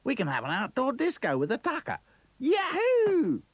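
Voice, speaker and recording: A man's voice: very high voice, wildly swooping pitch, normal speed, lo-fi sound, slight background noise, pitched above the performer's own voice, exaggerated voice. A man voicing a bouncy, cheery male kangaroo toy with an Australian accent, hearty and exclamatory. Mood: chirpy. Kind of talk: storybook character voice.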